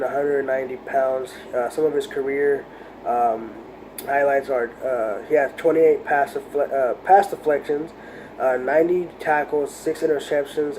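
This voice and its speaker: A man's voice: mid-range voice, warm tone, a little hiss or hum, rough recording. A congested man with a cold, talking casually as a fan in a home video, rambling with many fillers and getting heated. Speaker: sports vlogger. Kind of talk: casual football fan commentary.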